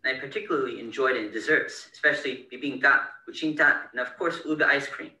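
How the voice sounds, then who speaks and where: Teenage boy, bright voice, roomy, far from mic, reading an essay. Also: mid-range voice, a little fast. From California, United States. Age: teens. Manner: steady, earnest reading. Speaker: scholarship winner. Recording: bright, roomy, distant recording.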